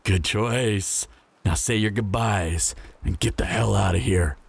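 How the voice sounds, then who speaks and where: A man's voice: fairly low pitch, measured pace, gravelly, breathy edge, heavily acted. An actor playing a smug, menacing post-apocalyptic bandit leader in an audio roleplay, mocking and falsely friendly as he drawls threats. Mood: mocking, threatening.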